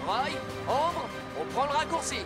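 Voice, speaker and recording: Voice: high-pitched, expressive, measured pace, over loud music. An actor voicing a decisive talking police car who leads a rescue team in a French children's cartoon, giving commanding instructions. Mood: urgent, decisive.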